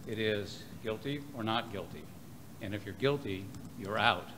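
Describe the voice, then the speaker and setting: A man giving an emphatic public comment at a city meeting, with a booming delivery. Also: unhurried. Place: city council chamber. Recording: noisy public meeting audio.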